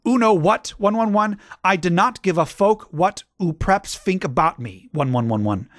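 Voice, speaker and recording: A man's voice: animated, normal speed, a bit bright, dry recording, studio quality. A man voicing a ranting, angsty teenage girl fanfic author who lisps and swears. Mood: defiant. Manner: shrill, lisping "oo" for "you", swears.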